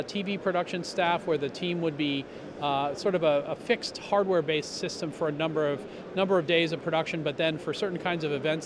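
A middle-aged man explaining a product in an upbeat way at a trade show booth, talking into a handheld mic, with lots of fillers and restarts. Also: on the high side. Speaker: company representative. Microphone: handheld interviewer mic. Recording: noisy trade show hall.

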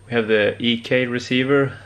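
A man giving a casual hands-on demonstration as a hobbyist, often pausing mid-phrase. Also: medium pitch, fairly even intonation. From Sweden. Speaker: amateur radio hobbyist presenter. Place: indoor home electronics workbench.

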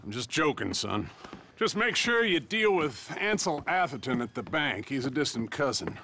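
Lively, wry Old West rancher. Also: male voice, mid-range voice, moderate pace, a little hiss or hum. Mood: genial, advising. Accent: American. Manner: wry, avuncular, assured. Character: wry, genial middle-aged male rancher boss. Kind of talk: video game cutscene acting.

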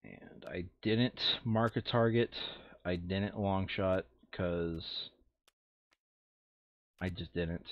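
A man's voice: low-pitched, extremely slow, pauses often, dry recording, muffled recording. A man playing a tabletop game online, switching between casual chat and reading game text aloud. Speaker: board game player reading out scenario text.

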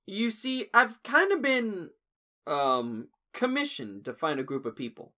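A curious young human guy acted by a man with a very bright, forward voice. His pitch swings freely and sits slightly high, in a casual, hesitant audio drama delivery. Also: very animated, measured pace, heavily acted. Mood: nervous.

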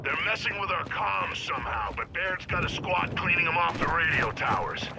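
A man playing an older, gruff, businesslike veteran soldier with a gravelly voice in a military action game. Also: a little high, expressive, normal speed. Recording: echoing, very noisy battle radio.

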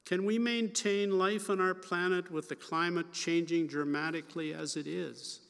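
An older Canadian man reads scripture and preaches from a church pulpit at a slow, careful pace. His voice sits a little high for a man and carries a long reverb through the large sanctuary. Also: a bit bright. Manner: slow, measured reading. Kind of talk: scripture reading and sermon. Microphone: pulpit microphone at lectern.

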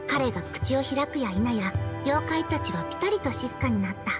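A solemn youkai girl with a high voice, speaking in formal, old-fashioned phrases like a little storyteller. It is anime game acting over soft music. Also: expressive, moderate pace. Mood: solemn, storytelling. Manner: formal, archaic speech. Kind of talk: Japanese anime-style game dialogue and narration.

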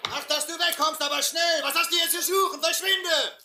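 Brusque, high-voiced island guard played by a woman. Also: moderate pace. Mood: threatening. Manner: barking, commanding. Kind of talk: German radio play acting. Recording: roomy recording with clear noise, water.

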